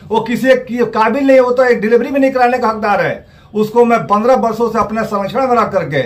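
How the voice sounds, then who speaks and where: A man with a high voice and a Bihari accent gives an aggrieved interview as a doctor. He is indignant and emphatic, hammering points by repeating them. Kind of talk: aggrieved spoken statement to a local news reporter.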